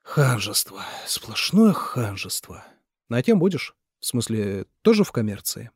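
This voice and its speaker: A man voicing a chatty, nosy young male police sergeant asking probing questions, in a husky voice. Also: mid-range voice, sing-song, a little slow, no echo, studio quality.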